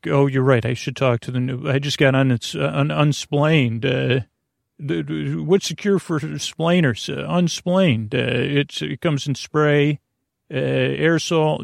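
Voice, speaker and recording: Male sleep-podcast host: gravelly and soothing, dry close sound, faint music, rambling bedtime monologue full of stumbles. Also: mid-range voice, no echo. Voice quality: creaky. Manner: meandering, stammering, goes off on tangents; lulling, soothing.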